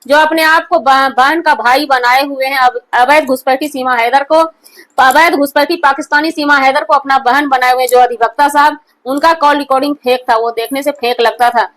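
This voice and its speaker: A woman's voice: sits high, steady tone, normal speed, fairly bright tone, low-quality recording. A woman gives opinionated news commentary in an emphatic, repetitive and accusatory manner. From India. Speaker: online commentary host.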